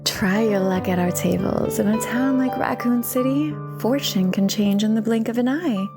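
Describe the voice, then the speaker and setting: Actress doing a sultry lady casino ad announcer, bit of vocal fry, jazz piano behind her. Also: a little high, normal speed, lightly acted. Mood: alluring, inviting. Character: smooth female casino advert announcer, alluring and polished. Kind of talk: scripted radio advertisement. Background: jazz piano music.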